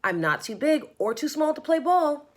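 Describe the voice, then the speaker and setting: A woman's voice: sits high, very animated, normal speed, pitched above the performer's own voice. A woman voicing a small, eager but lonely young male elephant in a children's storybook. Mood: hopeful, eager. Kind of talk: children's storybook read-aloud.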